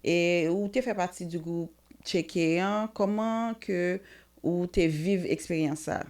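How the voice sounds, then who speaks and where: A woman's voice: fairly low pitch, slow, a little mellow. A woman hosting a casual interview, hesitant with many fillers. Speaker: talk show host.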